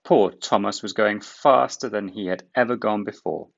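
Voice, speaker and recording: A man reading a children's book aloud in expressive storybook narration. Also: lowish voice, moderate pace, gravelly, muffled recording.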